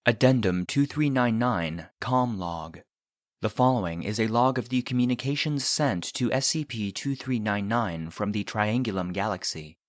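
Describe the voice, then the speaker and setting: A man's voice: lowish voice, expressive, moderate pace, no echo, studio quality. A man narrating in a formal, matter-of-fact way, as if reading an official log.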